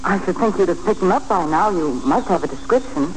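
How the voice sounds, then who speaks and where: A woman's voice: fairly low pitch, expressive, a little fast, close to an everyday voice. An actress playing a wisecracking, caring working woman with dry, sassy quips in a 1940s radio drama. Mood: curious. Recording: noisy, hissing 1940s radio recording.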